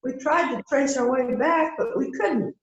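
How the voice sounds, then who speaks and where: Glib, petite female suspect feigning innocence, played by a woman, high voice, flippant, amateur mystery play, roomy echo. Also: measured pace, highs cut off. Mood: glib, defensive. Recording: echoey room sound.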